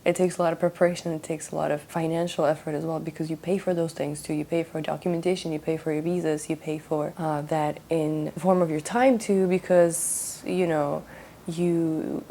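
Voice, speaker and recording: A young woman from Russia talks through her late-night painting session, tired after a long shift. Her voice sits low and gravelly, and she wanders through her thoughts with restarts and fillers. A faint hum of room noise hangs behind her. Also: steady tone, normal speed, dark tone. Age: young adult. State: exhausted after a work shift. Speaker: art vlogger. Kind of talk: casual late-night talk while painting.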